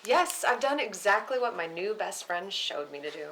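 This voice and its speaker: A woman's voice: mid-range voice, animated, moderate pace, heavy background noise, lightly acted. A woman playing a taunting, unhinged young woman in a film script read, mocking, sing-song and goading.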